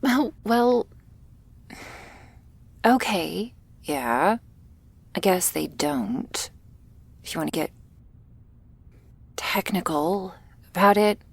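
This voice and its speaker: Woman acting as shy young vampire girl, asmr roleplay, super slow n hesitant, soft, creaky, apologetic. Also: on the low side, lively intonation, no room sound, exaggerated voice. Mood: awkward, defensive. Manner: hesitant, halting, soft-spoken with whispery moments and nervous little laughs. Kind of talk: intimate ASMR roleplay, spoken to the listener.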